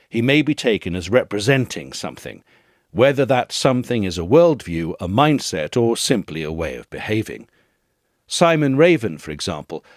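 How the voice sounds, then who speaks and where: Low-voiced man reading an essay. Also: dry recording, clean studio sound. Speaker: audio essay reader. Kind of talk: scripted literary essay narration.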